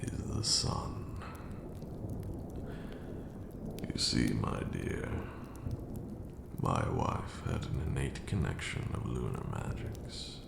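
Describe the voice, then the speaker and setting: Very deep, gravelly vampire lord. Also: male voice, expressive, echoey room, very noisy, heavily acted. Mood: grave, fond. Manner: theatrical, drawn-out, condescending. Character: ancient male vampire lord, haughty yet fond mentor. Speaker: ASMR roleplay performer. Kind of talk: ASMR roleplay monologue, slow and dramatic.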